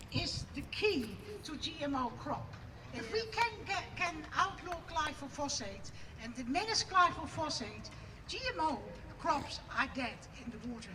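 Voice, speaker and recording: A woman with a Dutch accent giving an impassioned, declamatory protest speech outdoors at a rally, building to loud emphatic peaks. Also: high voice. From Netherlands. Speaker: protest speaker. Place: outdoor rally on parliament steps. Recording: distant mic, loud outdoor noise.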